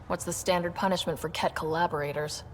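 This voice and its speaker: Actress as young woman explorer, video game, quick delivery, direct, inquisitive, long reverb. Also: fairly low pitch, steady tone, slight background noise, natural voice. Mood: businesslike, curious. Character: young woman explorer, direct, good-natured team leader. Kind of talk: video game character dialogue.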